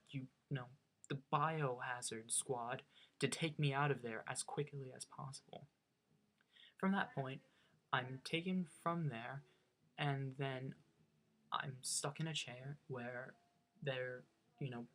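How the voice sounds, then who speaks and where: A young man with a faint Norwegian accent tells a personal story casually. He talks in a chatty, self-mocking way and often stops to restart his sentences. His voice sits at an ordinary pitch for a man. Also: steady tone, a little slow, fairly bright tone. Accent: slight Norwegian. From United States. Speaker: vlogger.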